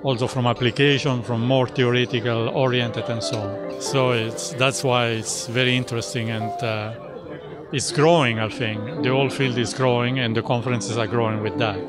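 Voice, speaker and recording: A man speaks in a plain, mid-pitched voice, stopping and restarting as he hunts for the right words. Loud music plays behind him the whole time. He sounds like a conference organizer answering interview questions. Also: fairly even intonation. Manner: halting, searching for words with many restarts. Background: loud background music.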